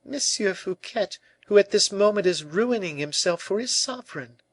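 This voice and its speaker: A man's voice: sits high, animated, moderate pace, dry recording, pitched above the performer's own voice. A man voicing an earnest, devoted young noblewoman of a royal court, pleading in formal speech for an audiobook.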